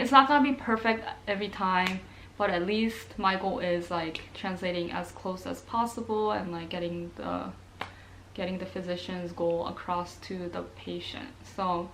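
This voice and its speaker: A woman's voice: medium pitch, fairly bright tone, rough recording. Chatty young woman vlogging to camera. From Korea. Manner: chatty, many ums and likes. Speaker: nursing student vlogger. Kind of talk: casual talk to camera. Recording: faint background noise.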